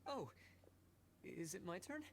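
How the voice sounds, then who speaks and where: A man's voice: high-pitched, very animated, slow, gravelly, slight background noise, heavily acted. A man playing a timid, meticulous civil servant in a video game, nervous and stumbling as he speaks.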